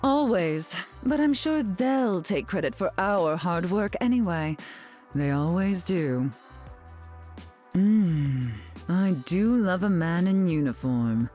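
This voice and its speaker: A woman's voice: lowish voice, animated, slow, mellow, soft background music. A woman playing a haughty, glamorous, sardonic society queen in a video game, imperious and arch in manner. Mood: haughty, sly. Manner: imperious, arch, drawling endearments. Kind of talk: video game character dialogue.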